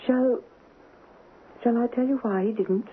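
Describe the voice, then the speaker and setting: An actress playing a gentle, resigned middle-aged mother, genteel and confiding, in a 1970s radio drama. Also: a little high, unhurried, close to an everyday voice. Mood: sorrowful, warning. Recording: muffled, noisy 1970s radio broadcast.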